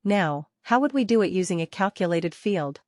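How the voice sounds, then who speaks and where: A woman working as a software trainer, teaching interactively step by step, repeating key points and posing questions to learners. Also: on the low side, expressive, moderate pace, dry recording, studio quality.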